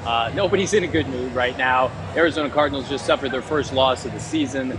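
A man with a mid-range voice gives a brisk, measured postgame report from a stadium tunnel. Loud crowd and stadium noise fills the background clearly. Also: mid-range voice. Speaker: field reporter.

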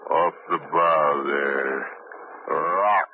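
Dim hulking sailor, deep halting speech, old radio play, tinny hiss. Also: male voice, full character voice. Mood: drunk. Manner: halting, dim, drunken slur. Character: big, strong, slow-witted sailor man. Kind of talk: 1950s radio drama acting.

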